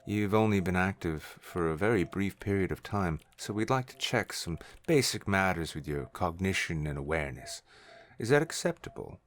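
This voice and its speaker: A man voicing a careful, friendly male scientist in a measured way for an audio story. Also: deep voice, animated. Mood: cautious, reassuring. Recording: music under the voice.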